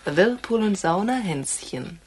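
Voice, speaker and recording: A woman's voice: mid-range voice, lively intonation, takes it slowly, darkish tone, a little hiss or hum. An actress playing a perceptive, teasing woman who is an intimate confidante, in a radio crime drama, with knowing phrasing.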